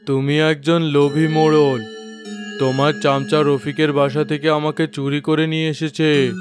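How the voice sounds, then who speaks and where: A magical cartoon money box speaks through a male actor with moral authority. The voice is somewhat high for a man. It is generous yet stern, with music playing clearly behind it. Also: flat delivery, a little slow, natural voice. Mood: stern, reproachful. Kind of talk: cartoon character dialogue.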